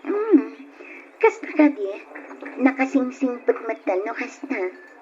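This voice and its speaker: An actress playing a friendly young woman boss in a radio drama, gentle and reassuring. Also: sits high, big pitch swings, takes it slowly, full character voice. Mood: sympathetic. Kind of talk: Ilocano radio drama acting. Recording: dull, noisy old radio drama recording.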